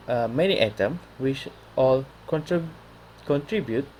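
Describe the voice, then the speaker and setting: Halting young Malaysian man presenting. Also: medium pitch, a little mellow, a little hiss or hum, poor audio. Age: young adult. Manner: halting, stumbling over words. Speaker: student presenter. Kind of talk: scripted class presentation.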